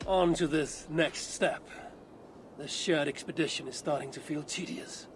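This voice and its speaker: An actor playing a blunt, distrustful Viking warrior in a video game, speaking in a curt, sardonic, challenging way. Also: male voice, fairly high pitch, measured pace, noisy background, lightly acted. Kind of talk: acted game cutscene dialogue.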